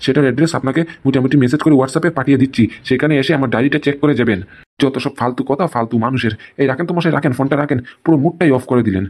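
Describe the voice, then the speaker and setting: Old cartoon father-in-law played by a man, touchy and blustering, emphatic, extremely quick talker. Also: medium pitch, darkish tone, close to an everyday voice. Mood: irritated, defensive. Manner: emphatic, repeats tag questions. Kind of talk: amateur comedy cartoon dialogue.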